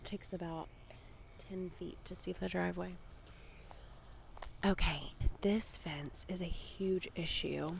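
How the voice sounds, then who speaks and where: A woman, probably in her thirties, gives a casual, chatty ASMR tour in soft whispers and hushed talk outdoors. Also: on the low side, fairly even intonation, slow pace, gravelly, pauses often. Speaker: ASMR vlogger. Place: outdoors in a residential backyard. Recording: faint wind and outdoor noise.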